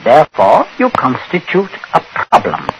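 A man acting in a 1940s radio drama as a coldly menacing patriarch who speaks deliberately, precisely and with command. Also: on the high side, wildly swooping pitch, lo-fi sound. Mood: coolly calculating. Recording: noisy old radio recording.

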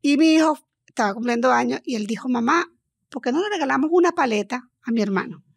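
Middle-aged Venezuelan woman, hesitant storyteller, gravelly higher voice, dry close sound. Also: expressive, no room sound. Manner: hesitant, halting, with many restarts. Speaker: guest. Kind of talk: personal storytelling in an interview.

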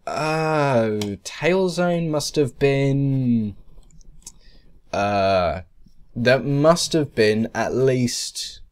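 A man narrating a software tutorial casually, in a rambling, hesitant, self-deprecating way. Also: mid-range voice. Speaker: tutorial presenter. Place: indoors, screen-recording voice-over.

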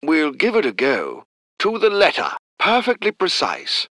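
A man's voice: on the high side, huge pitch swings, a little slow, bright, forceful tone, no echo, exaggerated voice. A man voicing a clipped, formal British tank gunner, delivering game unit lines. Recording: dry close studio recording.

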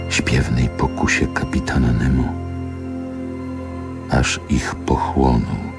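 An actor playing a brooding grown man who lyrically recalls a rough city childhood, with measured, deliberate phrasing in a poetic radio drama. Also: very deep voice, exaggerated voice. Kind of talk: poetic radio play monologue. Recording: music under the voice.

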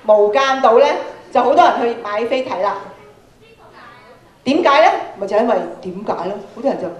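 A woman with a Hong Kong Cantonese accent giving an interactive talk to schoolchildren, prompting them with frequent questions. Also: fairly high pitch. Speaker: workshop speaker, radio programme producer. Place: large school auditorium, indoors. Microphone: handheld microphone, filmed from audience. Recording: echoey hall sound from PA.

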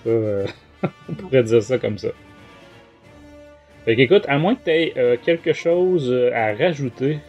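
A man's voice: mid-range voice, animated, unhurried, long pauses, highs cut off, soft background music. A man with a Quebec French accent hosting a casual book review podcast, talking in a hesitant way. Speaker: podcast host.

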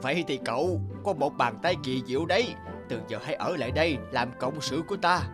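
A man's voice: fairly high pitch, a little fast, lightly acted. A man playing a scheming, self-serving middle-aged master sculptor in a cartoon fairy tale, authoritative and conniving. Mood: approving. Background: background music.

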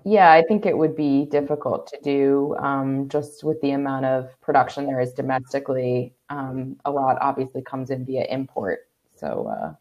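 Low-voiced woman moderating a webinar. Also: normal speed, muffled recording. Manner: relaxed, casual, hesitant with ums. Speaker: panel moderator. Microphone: video call.

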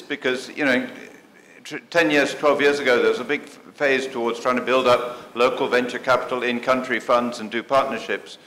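Man from the audience, crisp bright tone, quick question, roomy hall. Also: mid-range voice, slight background noise. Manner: inquisitive, quick, with false starts. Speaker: audience member asking a question. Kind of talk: conference floor question. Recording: echoey hall with faint ambience.

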